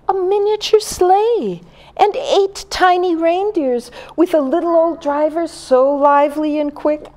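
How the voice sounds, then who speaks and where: An older woman reading a story aloud to young children as a grandmother, in a theatrical, expressive way with deliberate phrasing and shifts between hush and emphasis. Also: high-pitched. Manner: theatrical, dropping to whispers and swelling for emphasis. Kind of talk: expressive storytelling of a Christmas poem to small children.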